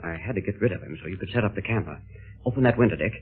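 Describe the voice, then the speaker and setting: A man's voice: low-pitched, racing, lightly acted. An actor playing a brave, confident newsreel man, commanding, cool and wry, in a 1930s radio adventure serial. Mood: brisk, casual. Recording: noisy narrow-band 1930s radio recording.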